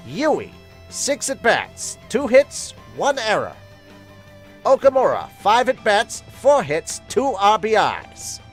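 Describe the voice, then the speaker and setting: A man's voice: sits high, wildly swooping pitch, takes it slowly, faint music behind, voice pushed up, full character voice. A man voicing a formal sports announcer who reads out game statistics in clipped, emphatic lists. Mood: emphatic, announcing. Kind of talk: announcer-style scripted recitation.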